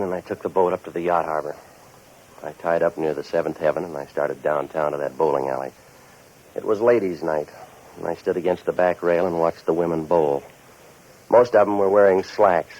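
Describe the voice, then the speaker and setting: Male actor, deep voice, cynical tough-guy investigator, deadpan wisecracks, 1940s radio drama, muffled vintage sound. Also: slight background noise, natural voice. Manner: deadpan, sardonic, hard-boiled. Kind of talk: 1940s radio noir acting and narration.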